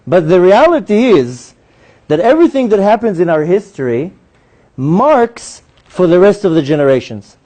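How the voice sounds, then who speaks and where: A man speaks to a gathered audience, telling his story with animated turns into acted-out dialogue. His voice sits high for a man, yet it sounds mellow and soft-edged rather than bright. He talks like a practiced storyteller holding a room. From Israel. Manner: animated storyteller who acts out dialogue in a higher voice. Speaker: speaker giving a talk. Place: synagogue hall.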